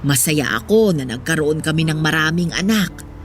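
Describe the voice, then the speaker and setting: A woman playing an old, poor Filipino mother in a radio drama, wistful and gently wise, speaking in an earnest, reflective way. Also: sits low, animated, moderate pace. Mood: tender, earnest. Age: older. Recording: music behind the voice.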